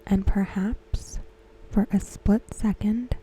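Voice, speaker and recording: A woman softly whispering a scripted recap in ASMR style, close to the microphone, with gentle, deliberate phrasing. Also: medium pitch, fairly even intonation, low-quality recording. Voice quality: soft, breathy, mostly whispered. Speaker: ASMR host. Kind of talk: ASMR, softly reading a scripted episode synopsis.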